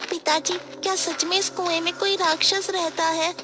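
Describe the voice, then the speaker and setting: A woman's voice: very high-pitched, flat delivery, normal speed, music in the background. A woman voicing a bold, curious young village child in a cartoon, with a teasing, inquisitive manner. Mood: worried curiosity.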